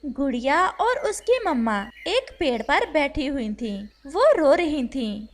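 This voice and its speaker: A woman's voice: high voice, very animated, slow pace. A woman giving scripted narration for a cartoon children's story.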